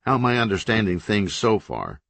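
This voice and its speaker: A man's voice: lowish voice, very animated, moderate pace, vocal fry, fairly bright tone, no echo, pitched above the performer's own voice, full character voice. A man voicing a hot-tempered governor who gives blunt, profane orders and explains things coldly. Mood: cold, even. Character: hot-tempered male state governor, forceful.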